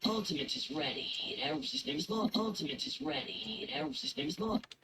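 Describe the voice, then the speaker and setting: An actor voicing a video game character calling out short combat readiness alerts. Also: male voice, sits high, big pitch swings, a little fast, gravelly, sharp tone, full character voice. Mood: alert, clipped. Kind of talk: short game voice-line callouts, looped and pitched. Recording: clicks and faint noise, played from editor.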